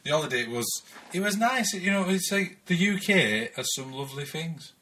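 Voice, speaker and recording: Relaxed man, faint hiss. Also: on the high side, normal speed. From Oldham, Manchester, England. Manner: relaxed, friendly banter. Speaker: podcast co-host. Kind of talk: casual chat. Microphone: video call.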